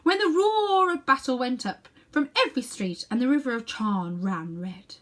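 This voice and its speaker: Female reader as ruthless queen, grandiose, emphatic, lifted pitch, storybook villain drama. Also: animated, normal speed, fairly bright tone. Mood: grand, boastful. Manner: declamatory, haughty, emphatic. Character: imperious ruthless sorceress queen, proud and grandiose. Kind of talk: dramatic children's storybook reading.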